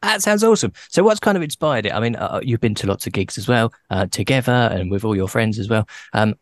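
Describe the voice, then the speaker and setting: Low-voiced man hosting a podcast. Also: animated, fairly quick. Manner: chatty, laughs often, playful teasing. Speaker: podcast host. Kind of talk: casual interview chat.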